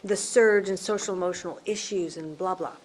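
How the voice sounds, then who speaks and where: A woman's voice: lowish voice, a little fast, a little mellow, poor audio. A woman moderating a panel casually, with an informal, breezy, slangy and effusive way of talking. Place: live panel event. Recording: faint room noise of live event.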